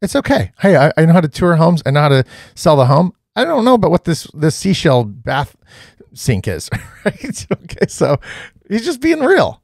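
A man in his thirties talks over a video in a small home studio, keen and coaching, often doubling his words. His voice sits a bit high. The sound is close and dry. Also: moderate pace, vocal fry, no room sound. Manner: energetic, repeats himself, coaching tone. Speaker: real estate content creator reacting to a video. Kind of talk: casual reaction commentary.

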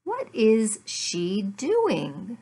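An American woman in her fifties teaching beginner English, speaking deliberately in short, simple, repeated phrases with pauses, recorded at home on a laptop microphone. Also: medium pitch, wildly swooping pitch, warm tone, husky. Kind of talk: beginner language lesson, addressed to learners. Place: small furnished living room at home.

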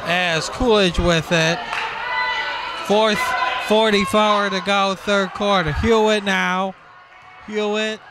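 A man doing live play-by-play of a school basketball game, calling plays in slow clipped bursts with occasional shouts. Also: sits high, steady tone, noisy background. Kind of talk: live sports commentary. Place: school gym with crowd. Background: crowd and bouncing ball.

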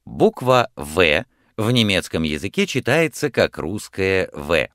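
A man with a very low voice reads out language-course instructions in a clear, measured way. His pitch moves a lot from word to word. The studio sound is dry and close. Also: sing-song, a bit bright, dry recording. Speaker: course narrator giving instructions.

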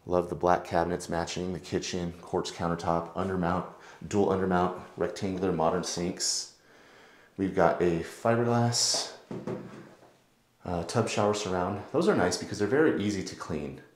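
A man giving a relaxed, chatty walkthrough of a house for sale, moving between rooms and the backyard as he films. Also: low voice, moderate pace. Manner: relaxed, chatty, lots of filler words. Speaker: real estate agent giving a home tour. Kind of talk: casual walkthrough narration. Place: house, indoors and outdoors.

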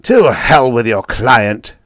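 Storybook role: gruff, breathless old prosecutor, voiced by a man, blunt and forceful, with swooping delivery. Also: mid-range voice, expressive, normal speed, dry recording, voice pushed up, lightly acted. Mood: gruff, exasperated. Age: older. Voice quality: wheezy, short of breath. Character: heavy old district attorney, gruff and combative.

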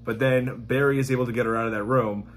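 Casual male reaction host, thirties, husky voice, amused and halting delivery. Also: mid-range voice, steady tone. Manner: halting, amused, laughs while talking. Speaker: YouTube host reacting to video game clips. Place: small indoor room at home.

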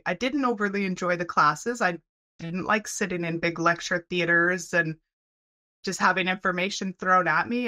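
Bright-voiced Canadian woman guest. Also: fairly low pitch. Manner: friendly, laughs often. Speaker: guest, welding program head. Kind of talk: casual interview chat. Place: home room, video call. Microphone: headset.

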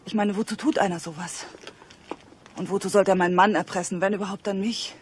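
A woman's voice: medium pitch, fairly even intonation, brisk, slight background noise, close to an everyday voice. A woman playing an earnest, troubled wife in a radio drama, hesitant yet insistent. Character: earnest, troubled wife pleading with a police inspector.

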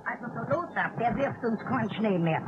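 A woman's voice: on the high side, expressive, brisk, lightly acted. A woman playing a fussy, indignant neighbour in a radio play, with a Bavarian accent. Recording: dull, noisy old radio play recording.

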